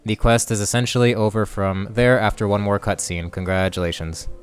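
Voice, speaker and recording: Low voiced guy doing a game walkthrough, clean smooth sound, music under it. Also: fairly even intonation, fairly quick. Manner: plain, step-by-step instructional. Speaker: video game guide creator and narrator. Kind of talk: walkthrough voice-over narration.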